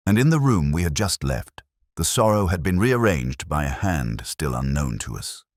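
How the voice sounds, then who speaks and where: A middle-aged man narrating a detective story in the first person, in a measured, literary and brooding manner. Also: rumbling low, no echo, studio quality.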